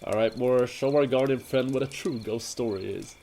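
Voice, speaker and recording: A man's voice: mid-range voice, moderate pace, vocal fry, mellow, natural voice. A man playing a brash, teasing hunter who leads his companions in a fantasy audio drama, bantering theatrically. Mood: sarcastic. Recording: noisy track with cricket ambience. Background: crickets and night insects.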